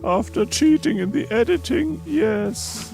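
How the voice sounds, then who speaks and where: A man's voice: very high-pitched, animated, a little slow, creaky, pitched above the performer's own voice, exaggerated voice. A man voicing a blunt, sarcastic male sidekick who makes cutting remarks, with music playing behind. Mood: dry. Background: background music.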